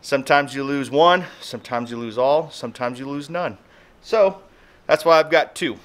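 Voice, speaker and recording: A man's voice: medium pitch, moderate pace, fairly bright tone. A man in his thirties with an American accent, chatting casually to camera in a folksy, colloquial way as a homestead vlogger. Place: outdoors in a yard and in a garage. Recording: background noise, wind outdoors, birds.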